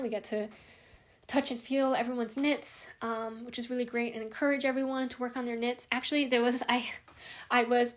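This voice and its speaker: A young woman from Hawaii hosting a knitting vlog, chatting casually and rambling with many fillers and restarts. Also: a little high. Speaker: knitting podcast host.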